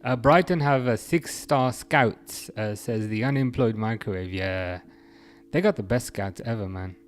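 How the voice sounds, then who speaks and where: A young man hosting a relaxed football livestream, reading out chat messages and rambling through his thoughts with frequent restarts. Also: mid-range voice, a little slow.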